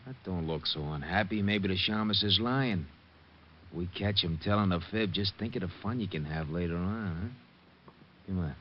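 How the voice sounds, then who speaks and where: Dull noisy recording, man acting a low-voiced New thug. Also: moderate pace, natural voice. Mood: grudging threat. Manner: slangy, tough, sarcastic. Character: menacing gangster thug with a gun. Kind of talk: 1940s radio acting.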